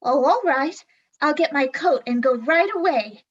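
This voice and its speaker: A high, unhurried voice for a meek and earnest romantic housemaid acted by a woman. She is deferential in an old-time radio comedy style. The sound is a little dull. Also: animated. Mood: obliging.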